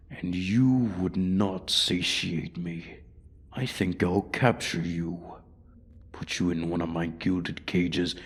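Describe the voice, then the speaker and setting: A man voicing a menacing, condescending dragon who taunts human prey in a fantasy ASMR roleplay, husky and breathy. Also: low-pitched, exaggerated voice. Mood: hungry menacing.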